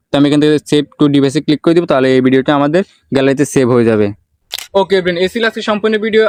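Male tutorial host, rapid delivery, slightly high pitch, English words mixed in. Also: clear, resonant tone, no echo. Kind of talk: step-by-step software tutorial narration.

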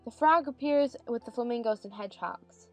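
A woman narrates a dramatized audiobook from a script. Her voice sits a bit high, with faint music underneath. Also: moderate pace. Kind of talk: scripted narration of stage directions.

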